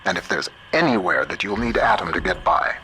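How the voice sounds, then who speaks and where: Low-voiced, wry American scientist, noisy backdrop. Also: male voice, sing-song. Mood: steady, guiding. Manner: measured, wry, plain-spoken. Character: shrewd, principled male computer scientist, wry and plain-spoken. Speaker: guide character. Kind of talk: video game voice acting, audio diaries and radio guidance.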